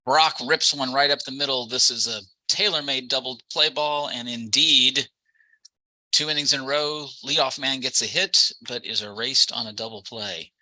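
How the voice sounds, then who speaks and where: A man's voice: mid-range voice, normal speed, sharp tone, no room sound, low-quality recording. A man doing live baseball play-by-play commentary as the game's announcer.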